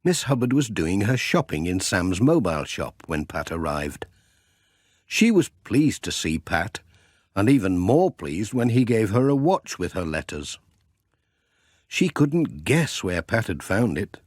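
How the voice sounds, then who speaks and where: A man tells a children's story in an expressive, measured way. His mid-pitched voice moves up and down freely at a slightly slow pace, recorded close and dry. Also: slightly rough, no echo. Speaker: audiobook narrator.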